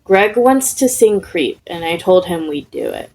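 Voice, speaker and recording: Woman reading a deadpan, oddball male student. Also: fairly low pitch, unhurried, low-quality recording. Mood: unbothered.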